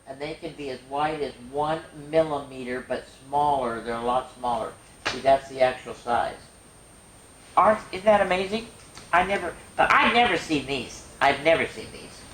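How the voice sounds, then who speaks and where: A woman reading a children's science book aloud as a storyteller, explaining to kids with expressive wonder and chatty asides. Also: deep voice. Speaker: storyteller and show host. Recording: echoey room with faint noise.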